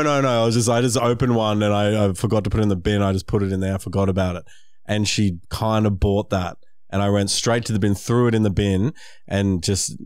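A man's voice: lowish voice, clean tone, no room sound, studio quality. A young Australian man telling stories and bantering casually as a regular on a comedy podcast. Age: young adult. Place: small podcast studio indoors. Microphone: boom-arm podcast microphone.

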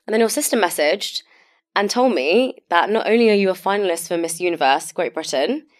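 A woman's voice: on the low side, expressive, fairly quick. A young woman hosting a podcast in a studio, chatting candidly and self-deprecatingly into a stand mic, her throat a little sore. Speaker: podcast host. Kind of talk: casual interview chat. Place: podcast studio with purple lighting, indoors.

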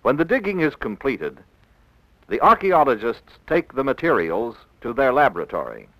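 A male American narrator delivers scripted educational narration in the manner of a 1960s classroom film. He speaks slowly and instructively, enunciating each phrase, with a widely varied melody. The sound is dry, from an old film soundtrack. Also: medium pitch, a bit bright, no room sound, rough recording. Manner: measured, didactic, clearly enunciated.

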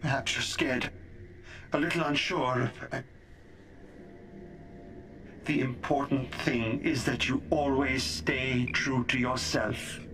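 Gentle father, noisy recording. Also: mid-range voice, fairly even intonation, slow pace, long pauses. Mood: tender, apologetic. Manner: tender, hesitant. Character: gentle, loving, worried middle-aged father. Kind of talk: dramatic game acting, phone message.

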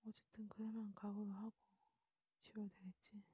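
A woman voicing a cautious, scheming vampire in a hushed, breathy ASMR whisper, unhurried and conspiratorial. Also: medium pitch, little pitch movement, dry recording. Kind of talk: whispered ASMR storytelling role-play.

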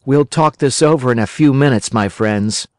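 A man voicing a mischievous wooden marionette boy with a high, boyish, eager delivery in a storybook reading. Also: normal speed, no room sound, clean studio sound, natural voice.

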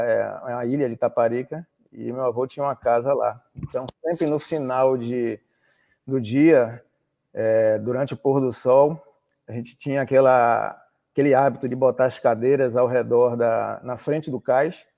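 Entrevistado baiano de uns cinquenta anos, voz grave rouca, narra com calma e hesitação. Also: male voice, medium pitch, creaky. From Salvador, Bahia, Brazil. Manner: hesitant, repeats words while recalling. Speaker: interviewee, oceanographer. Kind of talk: relaxed storytelling interview. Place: home room with shelves. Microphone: video call.

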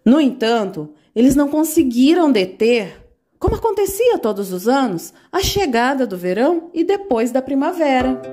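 A woman narrating a children's fairy tale in an expressive, animated way. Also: high voice, normal speed. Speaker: storyteller.

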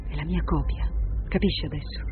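A woman's voice: low-pitched, brisk, natural voice. Sly female android played by a woman, thin radio sound. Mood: bitter, tense. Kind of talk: Italian radio drama acting. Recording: tinny narrow-band radio broadcast, music behind.

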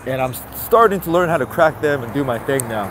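Stuffy-nosed male vlogger, chatty and relaxed, speaking into a handheld phone on a busy night street with faint music. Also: mid-range voice, fast. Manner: chatty, repeats greetings, talks to viewers. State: stuffy nose. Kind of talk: casual live-stream walking commentary. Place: city sidewalk outdoors at night. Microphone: handheld phone camera.

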